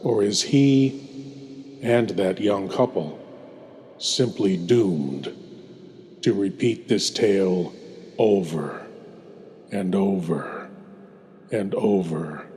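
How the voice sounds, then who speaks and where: Slow, cavernous male horror host. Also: sits low, animated, a little mellow, slight background noise. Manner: ominous, deliberate, dramatic pauses. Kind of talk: theatrical scripted horror-host narration. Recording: long hall reverb, faint music behind.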